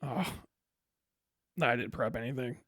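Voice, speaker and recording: A man's voice: medium pitch, normal speed, a bit bright, husky, no room sound, clean studio sound. An American man hosting a livestream, talking casually with blunt, opinionated, sweary commentary. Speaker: livestream host.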